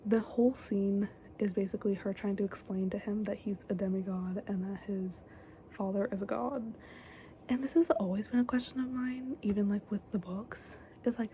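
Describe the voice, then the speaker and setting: A young woman giving a casual, chatty recap of a TV episode in a soft whisper, close to the mic, with lots of fillers. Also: medium pitch, slight background noise, poor audio.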